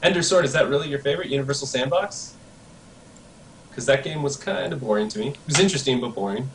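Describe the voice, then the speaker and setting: A man co-hosts a casual online show and laughs often as he chats. His pitch moves in an animated way. He sounds distant from the mic, in a roomy, echoing space. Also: quick pace, noisy background. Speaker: co-host. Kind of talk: casual streamed show chat. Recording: echoey and far from the mic.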